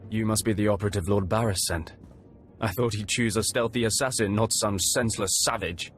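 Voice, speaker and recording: Man playing a sneering, deep-voiced Sith operative. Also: fairly even intonation, fairly quick, faint music behind, lightly acted. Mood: disdainful. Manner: sneering, condescending. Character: disdainful, sarcastic Sith undercover operative. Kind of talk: video game cutscene acting.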